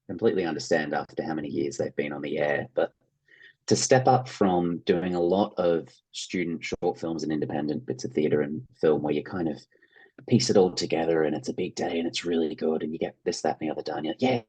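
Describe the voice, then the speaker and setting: A man's voice: rumbling low, a little mellow, slightly rough, poor audio. An Australian man speaking casually and enthusiastically as an interview guest, in an informal conversational way. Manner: enthusiastic, informal, acts out imagined reactions. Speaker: interview guest, actor and theatre producer.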